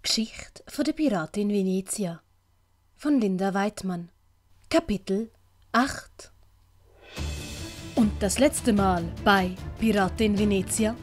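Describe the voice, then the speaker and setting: A woman's voice: medium pitch, slow, pauses often, soft background music. A woman narrating a scripted audio drama as a storyteller.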